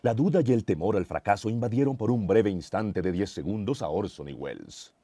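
A man's voice: fairly low pitch, animated, brisk, warm tone, husky, dry recording. A Colombian man playing a pompous, ominous narrator of a detective radio serial, close on a studio mic. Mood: suspenseful. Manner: pompous, suspenseful. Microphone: close studio broadcast mic. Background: music.

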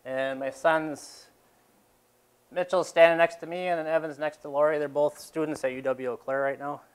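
Plain-spoken farmer man, smooth voice. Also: on the high side, fairly even intonation, moderate pace. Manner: rambling with fillers and restarts. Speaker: dairy farmer presenting. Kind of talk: casual talk to a seated audience. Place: large exhibition hall.

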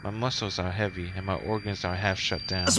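Male game streamer, low-pitched and unhurried, reading story text aloud with casual asides. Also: flat delivery, noisy background. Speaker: streamer playing a visual novel. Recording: game music behind the voice. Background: game music.

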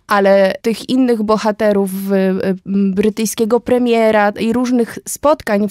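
A woman's voice: on the high side, a little mellow, no echo, studio quality. A woman in her thirties, a podcast guest, talks about a book in a thoughtful, hesitant way with many fillers. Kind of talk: casual conversation about a book.

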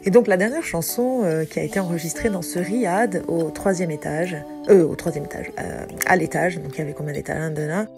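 A woman's voice: on the low side, fairly quick, creaky. A woman in her forties, a singer-songwriter, talking through her music clips in a loose, rambling way with many hesitations. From France. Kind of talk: casual spoken commentary over music clips. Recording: music playing under the voice.

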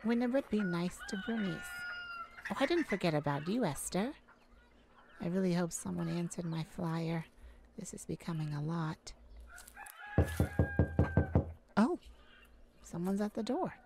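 A woman voicing a shy, gentle, easily flustered elf farmer in an ASMR roleplay, halting and polite with nervous little laughs. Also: on the low side, expressive. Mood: cheerful, fond. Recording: clear background noise, farm chicken ambience. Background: farm ambience with chickens and rooster.

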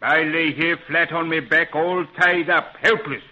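Folksy adventurer on tinny old radio. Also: male voice, a little high, fairly even intonation, a little slow. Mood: earnest. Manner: folksy, plain-spoken. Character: Pat, a loyal, scrappy grown man, adventurer ally. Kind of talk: 1930s radio adventure serial acting. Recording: muffled, phone-like 1930s radio recording.

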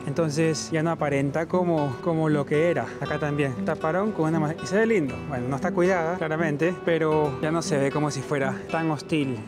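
A man in his thirties with a Peruvian accent, explaining things casually to his viewers as a travel vlogger. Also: fairly high pitch. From Peru. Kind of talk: explanatory vlog narration, casual talk to viewers. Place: mostly outdoors on city streets. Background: background music.

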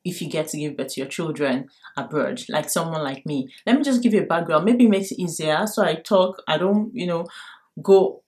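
Young Nigerian female vlogger, low-pitched, direct and emphatic, talking casually to camera from her bedroom. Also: expressive, a little fast, darkish tone. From Lagos, Nigeria. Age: young adult. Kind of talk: casual advice talk to camera. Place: bedroom at home.

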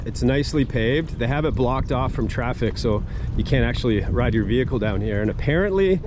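A man in his forties chatting casually and loosely to an action camera held at arm's length while walking outdoors. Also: mid-range voice. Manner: chatty, upbeat, loose and rambling. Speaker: travel vlogger. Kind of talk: casual walk-and-talk vlog. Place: busy city streets and an empty riverside boulevard, outdoors, hot day. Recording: loud outdoor street noise.

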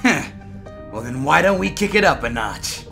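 A man playing a cocky, impatient young anime villain, taunting with a gravelly voice in a fan audio drama. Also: on the high side, big pitch swings, moderate pace. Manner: taunting, sneering. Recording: music clearly behind the voice.

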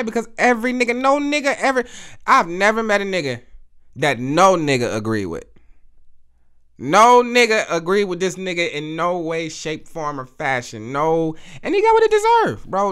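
Animated, bright-voiced man hosting a podcast. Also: high-pitched, clear, resonant tone. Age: 30s. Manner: blunt, profane, animated storytelling with repeated phrases. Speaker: podcast host. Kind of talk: casual solo podcast monologue. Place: small indoor studio with photo wall. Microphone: studio mic on boom arm, headphones on.

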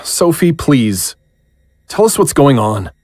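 A man acting in an audio drama as a bewildered, lovesick man, speaking earnestly and haltingly. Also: medium pitch, sing-song, a little mellow, no room sound, clean studio sound. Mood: bewildered, pleading. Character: bewildered, lovesick, weary man pleading with his beloved.

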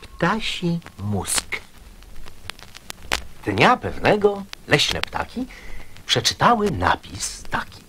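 A man's voice: a little high, wildly swooping pitch, extremely slow, pauses often, pushed and bright, a little hiss or hum. A man theatrically reciting a poem for children. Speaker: poetry reciter.

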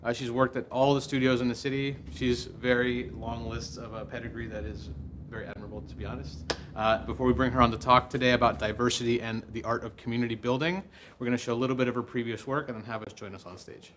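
Man, talk show host, quick pace, bright forceful delivery, faint background noise. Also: medium pitch, steady tone. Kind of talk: conversational interview hosting. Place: indoor studio set at a table.

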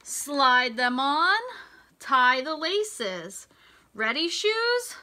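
An American woman reading a picture book aloud to young children, in a deliberate, sing-song teacher's delivery with emphasized words. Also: high voice, very animated, bright, forceful tone. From United States. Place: small indoor room with racks of hanging clothes.

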